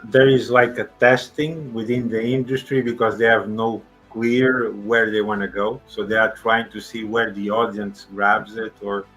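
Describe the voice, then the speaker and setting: A man's voice: lowish voice, little pitch movement, moderate pace, few pauses, fairly bright tone, faint music behind, low-quality recording. A male podcast host asking questions in a hesitant way, with non-native phrasing. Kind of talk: interview questions.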